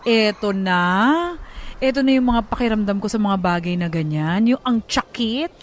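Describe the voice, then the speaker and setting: A Filipino woman co-hosting a radio show, giving casual love advice in an expressive, questioning way and switching into English. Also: mid-range voice, normal speed, slight background noise. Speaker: radio co-host.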